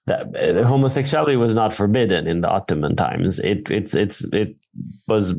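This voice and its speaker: Director guest, man from Sweden, low gravelly voice, thinks aloud and restarts often. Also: a little mellow. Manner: thoughtful, halting, many fillers and restarts. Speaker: film director. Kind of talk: Q&A conversation on stage. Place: theater.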